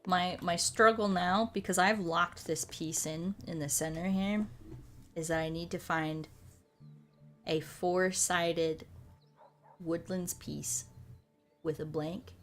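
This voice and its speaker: A woman's voice: fairly low pitch, a bit bright. Playful American woman streamer over music. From United States. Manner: playful, slangy, rambling. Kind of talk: casual livestream chat over a puzzle game.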